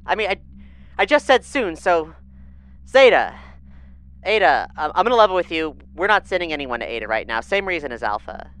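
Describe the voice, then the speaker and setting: A woman's voice: fairly low pitch, big pitch swings, a little fast, a bit bright. A woman playing a harried, bossy moon base leader in an audio drama, blunt, informal and sarcastic. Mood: brisk, stressed.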